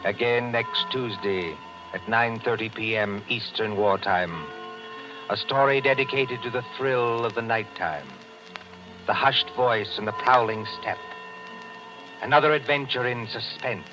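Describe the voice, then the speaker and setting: A man announcing a 1940s radio show from a script in a measured, ominous dramatic style. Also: medium pitch, highs cut off. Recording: vintage 1940s radio broadcast. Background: music.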